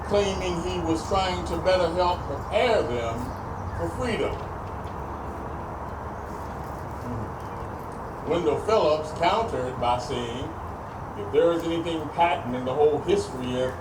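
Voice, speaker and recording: A man playing a grave, defiant 19th-century abolitionist orator in a dramatized speech, emphatic and rhetorical, with deliberate pauses. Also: a little high, lightly acted. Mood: measured, formal. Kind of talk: dramatized historical political oration. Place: public re-enactment at a farm park. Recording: distant, echoey, very noisy live event recording.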